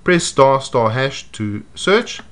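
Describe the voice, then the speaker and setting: A man giving a measured, step-by-step walkthrough of programming a device, voiced over close-up footage. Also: medium pitch, lively intonation, a bit bright. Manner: measured, methodical explaining. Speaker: product demonstrator. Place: indoors at a table.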